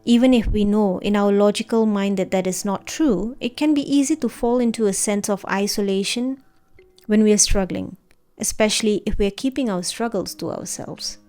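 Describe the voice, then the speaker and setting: A woman narrating a guided meditation in a gentle, soothing, unhurried manner. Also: mid-range voice. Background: background music.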